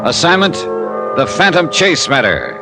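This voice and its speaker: A man's voice: a little high, wildly swooping pitch, normal speed. An American man playing a wry, weary, hard-boiled insurance investigator in a 1950s radio detective drama, with a clipped, sardonic delivery. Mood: wry narration. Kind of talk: 1950s radio detective drama acting with first-person narration. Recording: old radio broadcast with music underneath.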